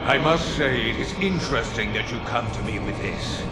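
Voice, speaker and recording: An actor, a man, playing a haughty demon lord in a video game, sardonic and menacing, with drawn-out delivery. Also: medium pitch, animated, close to an everyday voice. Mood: mocking. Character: haughty, mocking male demon lord of another dimension. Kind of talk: theatrical video game villain acting. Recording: echoey with loud music behind.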